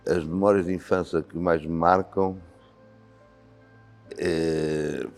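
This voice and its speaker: An older man speaks with a European Portuguese accent in an extremely deep, mellow voice. He answers slowly and thoughtfully, pausing often to find his words. The recording carries a long hall reverb with faint music underneath. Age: 60s. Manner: thoughtful, with frequent hesitations. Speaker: volunteer fire commander. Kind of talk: reflective interview answers.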